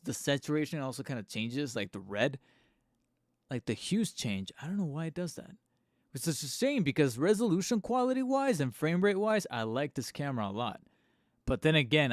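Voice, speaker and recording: A man hosting a live stream from home on a studio microphone, a little hoarse and tired, rambling casually with fillers and restarts and poking fun at himself. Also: mid-range voice, dry recording. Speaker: channel host streaming live. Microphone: studio microphone close up.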